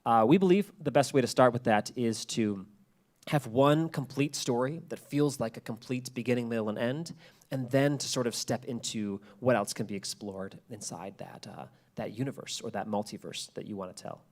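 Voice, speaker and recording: A man's voice: medium pitch, moderate pace, darkish tone. A man speaking as a podcast creator giving a lecture, explaining in an earnest, conversational way and repeating phrases for emphasis. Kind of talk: prepared talk, explanatory and conversational.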